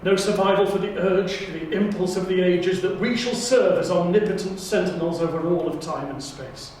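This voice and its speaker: A man's voice: sits high, normal speed, darkish tone, close to an everyday voice. A man playing a grandiose, scornful Time Lord councillor with pompous, declamatory delivery for an audio drama audition. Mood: grand, fervent. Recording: very echoey room, distant mic, faint noise.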